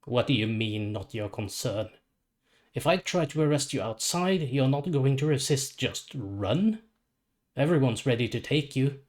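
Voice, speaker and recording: A man's voice: mid-range voice, expressive, measured pace, lightly acted. A man voicing a stern, suspicious superhero lawman with a blunt manner. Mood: suspicious, challenging. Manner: blunt, challenging.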